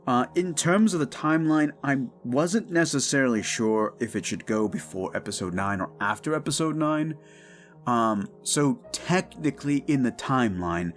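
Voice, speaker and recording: A man in his thirties with a mid-pitched voice talks casually over guitar music. He hosts an audio channel and addresses his listeners in a loose, rambling, informal style. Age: 30s. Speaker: audio drama creator and host.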